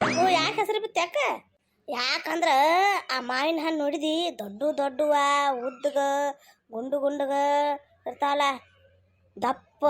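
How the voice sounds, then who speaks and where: A woman voicing a cheeky, know-it-all young daughter-in-law in a cartoon comedy, explaining and nagging teasingly with a North Karnataka accent. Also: high voice.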